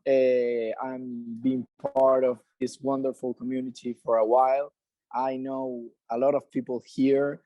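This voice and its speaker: A man's voice: medium pitch, flat delivery, measured pace, no echo, highs cut off. A man with an Argentine accent, a native Spanish speaker, introducing himself casually at an online community meeting.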